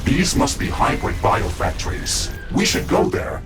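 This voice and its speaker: A man playing the AI of a mecha-suit in a video game, giving formal, measured tactical reports with a robotic processed voice. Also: low-pitched, huge pitch swings, vocal fry, over music, exaggerated voice. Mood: calm, formal. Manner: measured, formal, clipped announcements. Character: male AI of a mecha-suit, calm tactical advisor. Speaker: AI companion giving mission reports. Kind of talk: scripted video game dialogue.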